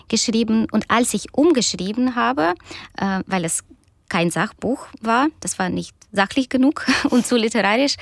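A woman in her thirties, a doctor and author whose first language is Russian, answering questions in a radio interview with many pauses and fillers. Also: medium pitch, no room sound, studio quality. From Ukraine.

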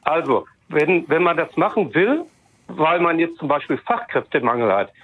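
A man's voice: on the high side, big pitch swings, normal speed. A man in his fifties calling in to a radio talk show, blunt and indignant, with many fillers. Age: 50s. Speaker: caller. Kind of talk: call-in radio comment. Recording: tinny phone line.

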